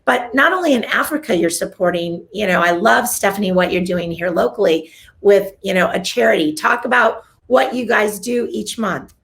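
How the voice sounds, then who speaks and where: A woman hosting a casual interview show, enthusiastic and full of praise, repeating her words when excited. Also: medium pitch, normal speed, creaky. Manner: enthusiastic, gushing, repeats "love". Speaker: host, realtor.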